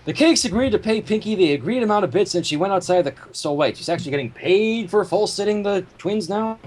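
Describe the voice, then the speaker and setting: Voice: medium pitch, lively intonation, quick pace, a little mellow. A person reading a fan story aloud and breaking off into casual commentary. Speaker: fan fiction reader.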